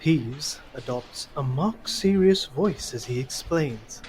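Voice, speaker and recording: A man's voice: mid-range voice, lively intonation, takes it slowly, a little mellow, a little hiss or hum, poor audio. A man reading scripted story narration for an audio drama.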